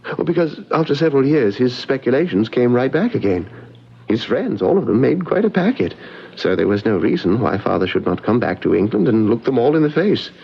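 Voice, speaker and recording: A man playing a nervous, reclusive English gentleman, halting, formal and fretful, in old-fashioned radio mystery acting. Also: mid-range voice, sing-song, creaky, breathy edge, full character voice. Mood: melancholy. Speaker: radio drama actor. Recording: muffled vintage radio recording.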